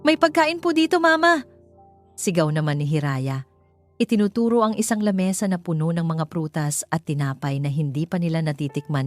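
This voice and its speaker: A woman's voice: deep voice, warm tone. A woman reading scripted, dramatic narration as a storyteller.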